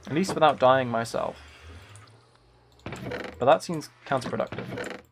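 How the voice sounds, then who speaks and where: A man's voice: mid-range voice, unhurried, creaky. A man chatting casually on a gaming livestream in a playful, rambling way. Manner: playful, rambling, trying out game sound impressions. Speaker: livestreamer, author. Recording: faint noise with key clicks. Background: computer keyboard typing and clicking.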